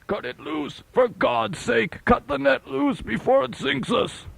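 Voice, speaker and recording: A man voicing a desperate fishing captain who bellows urgent orders to the crew in a storybook tale, raspy. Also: very high voice, unhurried, voice pushed up, exaggerated voice. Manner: shouting, urgent, commanding.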